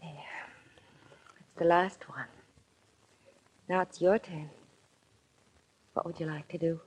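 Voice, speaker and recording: Low-voiced seductive radio heiress. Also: female voice, steady tone, slow, a little mellow, breathy edge, low-quality recording. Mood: romantic, inviting. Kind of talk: 1940s radio drama acting. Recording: vintage 1940s radio recording.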